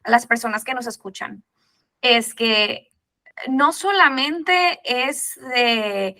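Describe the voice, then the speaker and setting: A woman hosting a faith podcast, expressive and building to emphatic points as she reflects on the guest's story. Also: on the high side, takes it slowly. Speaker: podcast host. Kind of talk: podcast interview, reflective preaching.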